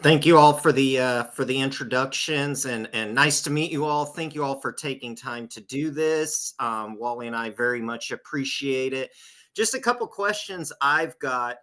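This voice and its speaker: A man with a bright, forward voice at a medium pitch. He sounds polite as he casually moderates a group interview. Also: normal speed. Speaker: podcast co-host and moderator.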